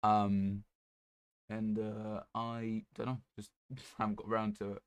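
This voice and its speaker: Low-voiced man, casual game streamer. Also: level tone, slow pace, clean tone, no echo, dull audio. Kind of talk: casual livestream chat while gaming.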